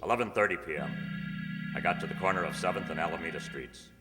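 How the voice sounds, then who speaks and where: A man playing a terse undercover police detective in a radio crime drama, matter-of-fact and deadpan, recorded in an echoey hall. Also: low-pitched, fast talker, natural voice. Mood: deadpan, methodical. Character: terse undercover police detective narrating his own case. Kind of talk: clipped radio crime drama narration and dialogue. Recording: echoey hall, loud music behind.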